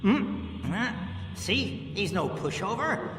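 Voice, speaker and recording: A man's voice: sits high, animated, slow, fairly bright tone, heavily acted. A man playing a bossy, theatrical, scheming tomb raider in a comic radio play, easily exasperated and given to lecturing. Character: bossy, excitable, scheming male tomb-raiding treasure hunter. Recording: long cavernous reverb, music behind.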